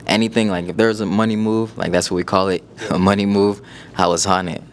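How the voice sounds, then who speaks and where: Laid-back nineteen-year-old man, low flat voice. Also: fast, slight background noise. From United States (Iowa). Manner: laid-back, relaxed, filler-heavy talk. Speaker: guest entrepreneur. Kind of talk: casual interview.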